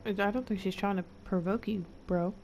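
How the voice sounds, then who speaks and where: A woman's voice: mid-range voice, steady tone, fairly quick, a little mellow, lo-fi sound, slight background noise. A woman doing casual live gameplay commentary, reacting to the story with wry, slangy remarks. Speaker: gameplay streamer.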